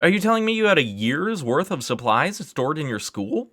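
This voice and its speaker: A man's voice: low-pitched, moderate pace, a bit bright, dry recording, studio quality. A man voicing an anxious, exasperated schoolgirl with an incredulous, insistent, questioning manner. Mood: agitated, incredulous.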